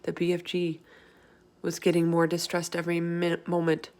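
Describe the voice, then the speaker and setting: A woman narrating a children's book aloud in an expressive storytelling style. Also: on the low side, normal speed. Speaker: storybook reader.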